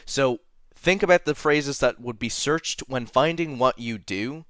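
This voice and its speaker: A young man with a mixed English-Irish accent talks through a how-to tutorial in a loose, chatty way, correcting himself as he goes. His voice is smooth and clear, mid-pitched, recorded dry and close on a desk mic in a small home room. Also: unhurried, a bit bright, dry recording. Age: young adult. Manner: chatty, self-correcting, apologetic asides. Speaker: tutorial host.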